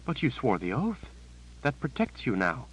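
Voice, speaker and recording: A man voicing an ancient, resolute sorceress sister who speaks urgently and often hushed. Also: mid-range voice, wildly swooping pitch, moderate pace, husky, voice pushed up, low-quality recording. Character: resolute sorceress sister, 156 years old.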